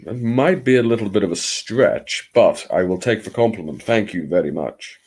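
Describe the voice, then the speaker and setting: A man with a fairly low voice hosts a casual live stream. He talks to viewers in a theatrical, playful way, dropping jokey asides and the odd swear word. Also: animated, moderate pace, darkish tone, slightly rough. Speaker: livestream host. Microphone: streaming microphone, not his usual video mic.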